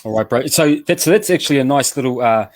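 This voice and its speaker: A man's voice: mid-range voice, fairly quick, no room sound. A man with a New Zealand accent hosting a casual radio chat show, upbeat and loose with slang, often restarting his sentences. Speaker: show host.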